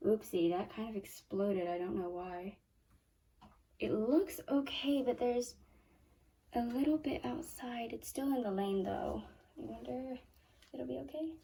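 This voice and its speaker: A 12-year-old girl with a squeaky, high voice talks her way through a home science experiment. She chats along, correcting herself as she goes. She sounds set back from the microphone in a small room. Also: measured pace, creaky, warm tone. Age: 12 years old. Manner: chatty, self-correcting, talks through each step. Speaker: young science channel host. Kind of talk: casual hands-on lab demo. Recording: voice from a distance off the mic.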